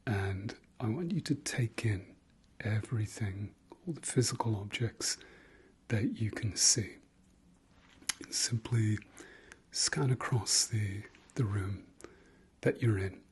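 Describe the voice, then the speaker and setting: A man leading an ASMR guided meditation in a soft, breathy whisper, gentle and halting, with pauses and trailing repeats. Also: on the low side.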